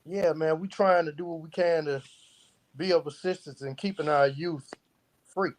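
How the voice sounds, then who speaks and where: A man's voice: a little high, fairly even intonation, moderate pace. A middle-aged man speaking as an interview guest in a casual, reflective way. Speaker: interview guest, founder of a youth organisation.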